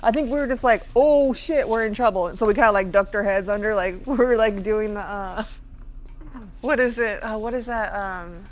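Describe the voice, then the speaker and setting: A woman with a fairly deep voice for a woman, chatting and telling stories over gameplay and laughing often. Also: moderate pace, lo-fi sound, slight background noise. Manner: rambling storyteller, laughs often. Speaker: co-host. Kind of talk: casual chat over gameplay.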